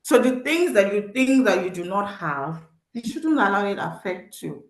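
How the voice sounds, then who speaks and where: A woman's voice: mid-range voice, sing-song, moderate pace. Nigerian-accented woman, event speaker; earnest, encouraging, repeats for emphasis; echoey room. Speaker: speaker at a teen event, social worker. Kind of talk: motivational talk to teens. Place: event venue.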